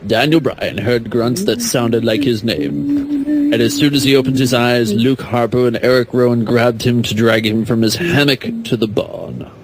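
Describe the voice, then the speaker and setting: A man's voice: mid-range voice, sing-song, normal speed, rough recording. A man doing a comic reading of fan fiction, mock-dramatic with playful, chatty asides. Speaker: podcast guest or panelist reading narration.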